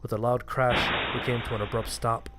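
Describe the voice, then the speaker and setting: A man's voice: medium pitch, level tone, normal speed, very noisy. A man reading a scripted first-person horror story as its narrator.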